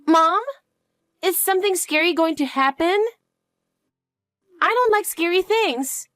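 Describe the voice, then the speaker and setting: A woman's voice: very high-pitched, lively intonation, measured pace, pushed and bright, no room sound, faint music behind, voice pushed up, full character voice. A woman voicing a frightened little girl who clings to her mother with pleading questions. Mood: eager, pleading. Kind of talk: dramatic dialogue.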